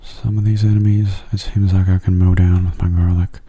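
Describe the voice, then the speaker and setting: A man speaks very softly and huskily, with a low, dark, mellow voice that sits close to a whisper. He gives calm, understated gameplay commentary in an ASMR style, never hurrying and keeping his delivery gentle. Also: brisk. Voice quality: soft, breathy, often near a whisper. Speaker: gaming video host.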